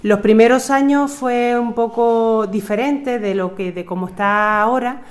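A woman from Tenerife, a summer camp coordinator, answering an interview in a halting, searching way. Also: a little high. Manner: hesitant, searching for words.

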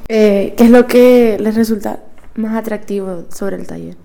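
Gravelly-voiced young interviewer. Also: female voice, mid-range voice, a little slow, warm tone. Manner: reads questions formally. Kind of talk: radio-style interview questions.

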